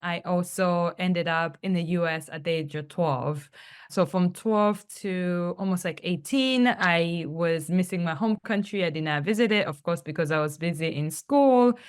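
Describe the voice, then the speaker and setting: Chatty woman from Tanzania, lowish voice. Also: moderate pace, smooth. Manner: chatty storytelling, many fillers and acted-out quotes. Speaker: guest, travel company founder. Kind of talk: casual podcast interview.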